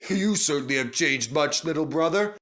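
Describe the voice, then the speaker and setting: A man's voice: fairly high pitch, expressive, moderate pace, a bit bright, pitched above the performer's own voice. A man voicing a famous, self-satisfied steam engine, a genial and confiding elder brother in a children's story. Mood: genial, proud.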